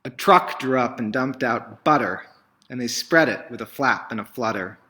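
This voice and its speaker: A man with a very bright, forceful voice at a middling pitch reads a picture book aloud for children. His delivery is theatrical and emphatic, shifting between dramatic swells and hushed phrases. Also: normal speed. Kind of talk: expressive children's story read-aloud.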